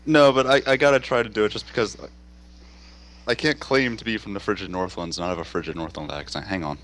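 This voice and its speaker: A man's voice: fairly low pitch, quick pace, fairly bright tone, a little hiss or hum. A man playing a tabletop game, joking and bantering playfully. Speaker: player. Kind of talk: casual tabletop game play.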